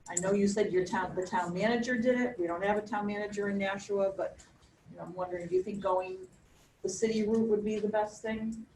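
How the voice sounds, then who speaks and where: A woman from a local coalition asking a question in a group discussion, deliberately raising her voice and chatting. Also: mid-range voice, steady tone, a little mellow. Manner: raises her voice on purpose, chatty. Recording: echoey room, far from mic, faint hum.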